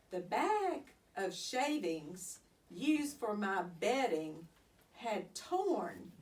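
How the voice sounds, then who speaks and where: A woman narrating a children's story expressively, with deliberate, dramatic phrasing. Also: mid-range voice. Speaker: storybook reader. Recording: slightly distant microphone.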